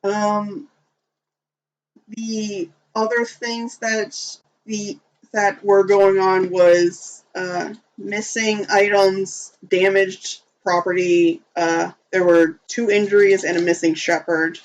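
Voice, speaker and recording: This game master narrates the tabletop session slowly and hesitantly. Fillers and pauses run all through the speech, which sits at a middling pitch. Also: level tone, a little hiss or hum, low-quality recording.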